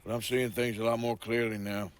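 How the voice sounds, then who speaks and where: An actor playing a weary, disillusioned outlaw gunman in a video game, speaking plainly and gravely with a rural American drawl. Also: medium pitch, little pitch movement, a little fast, lightly acted. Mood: weary, earnest. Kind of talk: dramatic video game cutscene acting.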